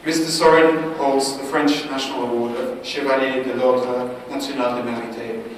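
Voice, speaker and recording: A man's voice: mid-range voice, steady tone, normal speed. Man reading a formal introduction in a hall. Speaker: conference presenter introducing the speaker. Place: large conference hall. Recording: echoey hall, distant mic, noisy.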